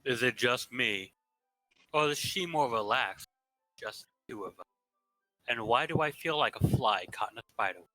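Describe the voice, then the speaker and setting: A man reading game narration aloud in a plain, steady way. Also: mid-range voice, moderate pace, long pauses, no room sound, faint music behind, poor audio.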